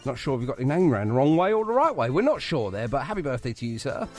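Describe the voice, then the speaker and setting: A man's voice: medium pitch, big pitch swings, fast, soft background music. A man hosting a live radio show in a chatty, jokey way.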